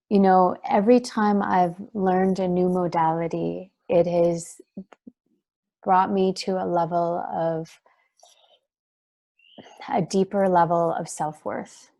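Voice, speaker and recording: A woman with a soft voice, talking in a gentle, searching way as an interview guest, with many fillers and restarts. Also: lowish voice. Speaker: guest, breathwork trainer. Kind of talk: reflective interview talk.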